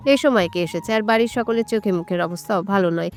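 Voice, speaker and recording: A woman's voice: medium pitch, fast. Female narrator for scripted romantic fiction, average register, light music bed underneath. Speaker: storyteller.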